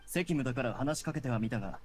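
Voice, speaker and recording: Man playing a dutiful male guard, mid-pitched, matter-of-fact, anime game, faint background noise. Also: animated, quick pace, close to an everyday voice. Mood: businesslike.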